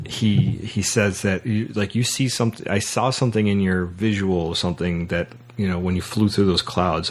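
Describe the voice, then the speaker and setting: A man hosting a podcast, talking casually about a film and haltingly repeating words as he recaps. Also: deep voice, a bit bright. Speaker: podcast host.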